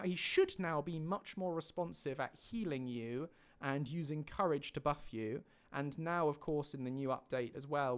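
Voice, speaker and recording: A man hosting a livestream, casually explaining updates to viewers in an enthusiastic, rambling way. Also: a little high. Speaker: mod creator and livestream host.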